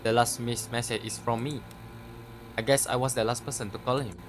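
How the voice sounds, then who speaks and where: A man's voice: mid-range voice, steady tone, a little fast, noisy background. A man doing casual let's play commentary, stumbling as he reads text aloud and swearing freely. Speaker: gaming video host.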